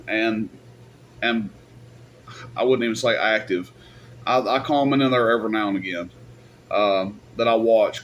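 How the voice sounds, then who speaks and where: A man giving casual, off-the-cuff advice in a rambling, plain-spoken, colloquial way, like a YouTube host. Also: mid-range voice. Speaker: YouTube host and radio equipment seller.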